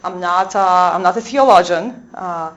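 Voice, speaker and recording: A Dominican sister with a Polish accent giving a talk to a small audience in a convent room, hesitant with many fillers and restarts. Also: female voice, lowish voice, measured pace, muffled recording. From Poland.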